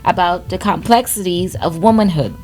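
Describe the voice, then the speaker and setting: A woman's voice: fairly low pitch, moderate pace, a little mellow, poor audio. A woman from Guyana with a Guyanese accent, co-hosting a podcast in casual chat. She laughs often and restarts her phrases. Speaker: podcast co-host.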